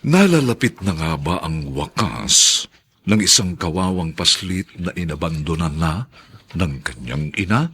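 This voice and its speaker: A man announcing a radio drama in a formal, theatrical way, reading scripted narration and credits with a gravelly, husky voice. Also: deep voice, very animated, measured pace, pushed and bright.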